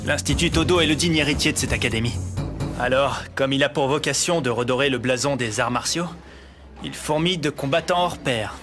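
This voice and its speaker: A man's voice: mid-range voice, fairly quick, lightly acted. An actor playing a serious young male martial arts student who also narrates, in a French anime dub. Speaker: narrator and fighter. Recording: music behind.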